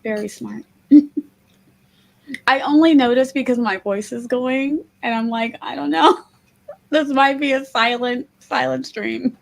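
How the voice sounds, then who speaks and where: A woman losing her voice, hosting a casual stream from home, talking softly and laughing often. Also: high voice, slow pace, gravelly, slight background noise, low-quality recording. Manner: soft-spoken, laughs often. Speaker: livestream host. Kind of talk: casual chat on a writing stream.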